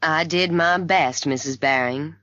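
A woman reads the part of a kindly male doctor in an audiobook mystery. He speaks low and unhurried, calm, frank and reassuring. The sound is a little dull. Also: no echo. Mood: reassuring, dry.

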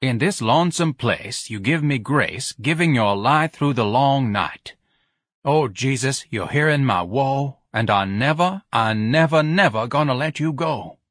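Man doing slow, fervent gospel chanting. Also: medium pitch, animated, fairly bright tone, no echo, clean studio sound, pitched above the performer's own voice. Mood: fervent, rapt. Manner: melodic, drawn-out chanting. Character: fervent gospel choir of workers, chanting compulsively.

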